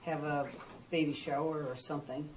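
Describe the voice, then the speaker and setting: A woman's voice: sits low, steady tone, moderate pace, a little mellow. A woman talking informally and a little tentatively as she reasons aloud at a public meeting in a hall. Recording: muffled meeting recording, faint hiss.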